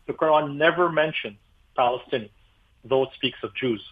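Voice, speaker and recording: A man's voice: medium pitch, normal speed. Brief: male pastor, Arabic native speaker, lecturing guest, tinny phone-line sound. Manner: emphatic, lecturing, many fillers. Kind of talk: radio interview, explaining history.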